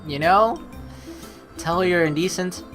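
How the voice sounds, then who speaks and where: A woman's voice: lowish voice, unhurried, soft background music. A young woman doing a playful let's play, switching between casual commentary and theatrical narration read aloud.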